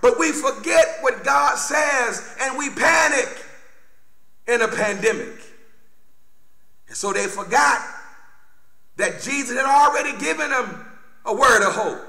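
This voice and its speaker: A man's voice: very high-pitched, animated, unhurried, creaky, pushed and bright. A middle-aged man preaching a fervent sermon as a pastor, emphatic and exclamatory, repeating phrases. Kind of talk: fervent Baptist Easter sermon. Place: church sanctuary at a pulpit, indoors. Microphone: microphone on boom stand at pulpit. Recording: roomy, echoing church sound.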